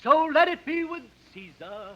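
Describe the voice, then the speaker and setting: An actor playing a grand Roman orator, declaiming in measured phrases in a 1950s radio parody. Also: male voice, very high-pitched, animated. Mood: solemn, declamatory. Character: grand Roman orator delivering a funeral speech. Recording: muffled, faintly noisy 1950s radio recording.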